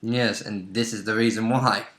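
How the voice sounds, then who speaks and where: A man's voice: fairly low pitch, fairly even intonation, normal speed, fairly bright tone. A man chatting casually as a player about a tabletop role-play game. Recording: roomy, echoey indoor recording.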